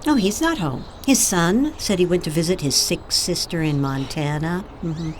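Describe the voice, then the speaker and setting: Woman playing an offhand neighbor, swooping pitch. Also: animated, moderate pace, slight background noise, close to an everyday voice. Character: nosy, casual female neighbor minding an absent man's house. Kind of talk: audio drama acting.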